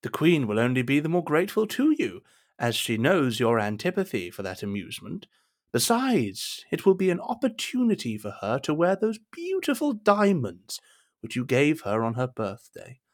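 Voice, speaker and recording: An actor playing a scheming, deferential cardinal in a period audio drama, speaking in a measured, formal, persuasive way. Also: male voice, mid-range voice, wildly swooping pitch. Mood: deferential, insinuating. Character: smooth, scheming cardinal, deferential royal minister.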